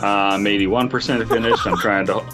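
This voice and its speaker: A man co-hosting a podcast, talking haltingly with many fillers and deadpan jokes. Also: fairly low pitch, over loud music. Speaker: podcast co-host. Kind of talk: casual chat.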